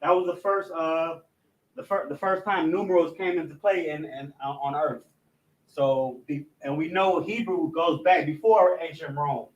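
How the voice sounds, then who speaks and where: A man's voice: a little high, steady tone, muffled recording. A man teaching a language class, speaking with frequent restarts and fillers, and reading out an alphabet letter by letter. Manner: halting, many restarts and fillers. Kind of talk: classroom lesson, reciting alphabet.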